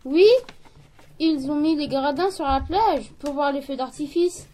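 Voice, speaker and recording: Woman doing a stubborn hotel porter, matter of fact, high voice, radio sketch. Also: measured pace, mellow. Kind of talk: acted comic sketch for radio.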